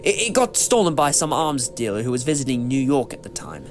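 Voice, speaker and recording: An actor playing an eccentric, clever time-travelling adventurer in a fan audio drama, theatrical, with musing hesitations. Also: male voice, mid-range voice, big pitch swings, a little fast, faint music behind, natural voice. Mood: musing, flustered.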